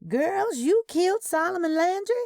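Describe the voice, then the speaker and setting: A woman's voice: very high voice, animated, normal speed, gravelly, darkish tone, dry recording, studio quality, voice pushed up, heavily acted. A woman voicing a startled, incredulous female relative who questions her elders in a Southern Gothic story. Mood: shocked. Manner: shocked, questioning.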